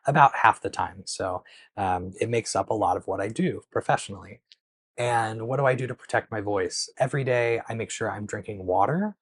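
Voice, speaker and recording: Male craft host, low-pitched, casual Q&A to camera, dry close clip-on mic. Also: husky, no echo. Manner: conversational, explains patiently with frequent um and so. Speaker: knitting channel host.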